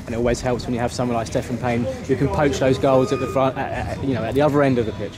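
A man's voice: medium pitch, steady tone, rapid-fire, a crowd in the background. A man interviewing a football manager after a match at an outdoor ground at night, asking long, rambling questions. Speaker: club media interviewer.

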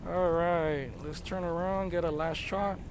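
A man chatting excitedly as he narrates his drone flight outdoors, marveling at what he sees. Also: fairly high pitch, a little slow, noisy background. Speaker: drone videographer. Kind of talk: casual live narration while flying a drone.

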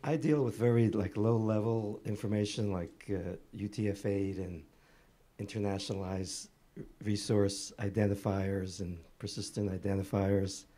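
A man in the audience asking a halting, technical question at a conference, pausing often. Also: lowish voice, level tone, dark tone. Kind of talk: question from the floor. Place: conference room.

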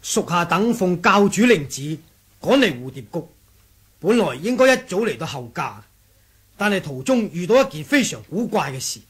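A man's voice: sits high, big pitch swings, normal speed, a bit bright, breathy edge, full character voice. A man with a Hong Kong Cantonese accent playing a deferential rebel soldier reporting formally to his leader in a radio drama. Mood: respectful. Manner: formal, storytelling, respectful. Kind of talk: 1980s radio drama acting.

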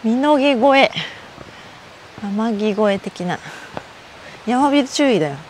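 A woman's voice: fairly high pitch, lively intonation, takes it slowly, a little mellow, a little hiss or hum, rough recording. A middle-aged Japanese woman chatting to herself as she hikes a mountain trail outdoors, recorded on a body-worn camera. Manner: chatty, talks to herself while walking uphill. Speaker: hiking vlogger. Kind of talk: casual solo hiking vlog talk.

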